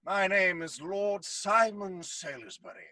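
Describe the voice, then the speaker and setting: A man's voice: sits high, lively intonation, a little slow, bright, forceful tone, no room sound, studio quality, exaggerated voice. A man playing an outraged, haughty lord in an audio play, speaking in an imperious, theatrical, scornful manner. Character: outraged, haughty nobleman in a plague mask. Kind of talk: stage-style audio drama acting. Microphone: video call recording.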